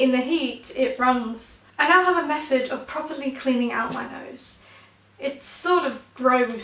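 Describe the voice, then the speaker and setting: Young woman doing spoken word, high croaky stuffy voice, dry jokey punch, sounds a bit far off in an echoey room. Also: a bit bright. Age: 20s. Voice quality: croaky, blocked-nose voice. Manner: wry, self-mocking, punchy delivery. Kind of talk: spoken word poetry performance.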